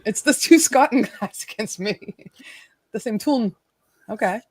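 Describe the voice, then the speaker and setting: Animated, teasing woman stream host. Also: on the high side, measured pace, husky, dry recording. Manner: bubbly, laughs often, teasing. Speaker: livestream host. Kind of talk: casual live-stream chat.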